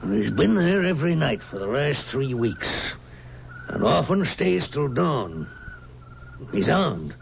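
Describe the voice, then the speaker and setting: An actor playing a gruff, tense county sheriff in an old radio drama. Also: male voice, medium pitch, very animated, slow, exaggerated voice. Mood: matter-of-fact. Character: tense small-county sheriff hunting a fugitive. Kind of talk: 1970s radio drama acting. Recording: dull old radio recording, background noise.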